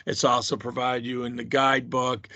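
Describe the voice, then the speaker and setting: A man with a bright, forward voice on the lower side talks students through an orientation like an instructor. He is encouraging and reassuring, explaining informally, and the sound is very dry with no room around it. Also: level tone, normal speed, no echo. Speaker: university instructor leading a course orientation call. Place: conference call.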